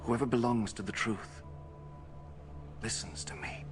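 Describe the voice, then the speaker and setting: An actor playing a calm, solemn holy man held prisoner in a film, quietly resolute, with a hushed, breathy delivery. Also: lowish voice, unhurried, music in the background, lightly acted. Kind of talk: dramatic film acting. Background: film score.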